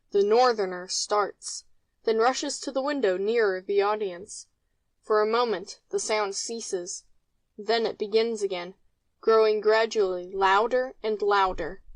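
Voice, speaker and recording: A woman's voice: mid-range voice, no room sound, poor audio. A woman narrating, reading stage directions from a script.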